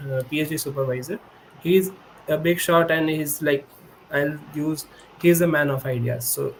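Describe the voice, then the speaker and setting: A young man whose first language is Hindi speaks over a video call with a somewhat high voice. He explains things earnestly and often restarts his sentences, with a faint background hum. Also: low-quality recording. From India. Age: 20s. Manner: earnest, explanatory, frequent self-corrections. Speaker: guest, PhD student. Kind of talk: remote podcast interview. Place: indoor room with a high-backed chair.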